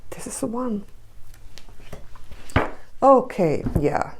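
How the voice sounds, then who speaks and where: A woman's voice: fairly high pitch, lively intonation, extremely slow, slight background noise, poor audio. A woman giving an intuitive tarot reading and guiding a visualization, speaking emphatically and often repeating herself, with a sore throat and a cough. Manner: emphatic, repetitive, with soft hushed stretches when guiding. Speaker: tarot reader.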